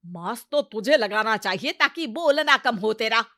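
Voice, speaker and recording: Woman playing a brash, bright-voiced stubborn mother-in-law. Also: on the high side, animated, moderate pace, no room sound, clean studio sound. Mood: irritated, combative. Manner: brash, scolding, dismissive retorts. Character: stubborn, hot-tempered village mother-in-law, middle-aged or older. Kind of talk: comic Hindi cartoon story acting.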